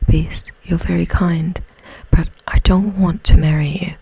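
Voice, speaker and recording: A woman voicing a gentle, brave young woman in a breathy storybook whisper, tender and earnest. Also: on the low side, fairly even intonation, measured pace, no room sound, full character voice, poor audio.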